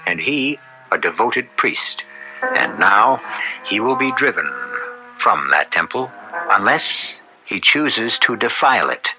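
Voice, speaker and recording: A man hosting a radio mystery drama, narrating theatrically in a deliberate, portentous manner. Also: mid-range voice, rough recording. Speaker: host and narrator. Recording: vintage radio broadcast with music underneath. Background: music.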